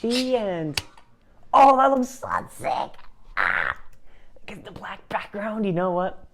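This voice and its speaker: A man's voice: high voice, wildly swooping pitch, takes it slowly, gravelly, fairly bright tone, a little hiss or hum. A man hosting his channel, rambling through a casual reaction commentary, mocking himself with frequent laughs and restarts. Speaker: channel host.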